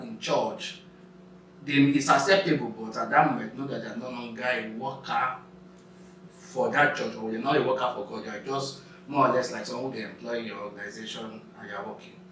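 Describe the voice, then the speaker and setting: A man's voice: medium pitch, fairly even intonation, normal speed, a bit bright. A young man, a guest in a casual discussion, explaining his opinion step by step and defining his terms. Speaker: guest on a youth discussion show. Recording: echoey room, distant mic, faint noise.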